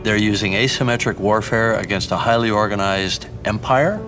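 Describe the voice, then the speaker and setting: A man's voice: fairly low pitch, normal speed. A man talking conversationally as an interviewer. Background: music.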